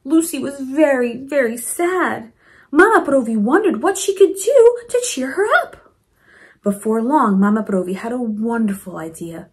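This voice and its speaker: A woman reading a storybook aloud to children in an expressive, encouraging way, with teaching asides. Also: fairly high pitch, measured pace. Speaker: principal reading a picture book to children.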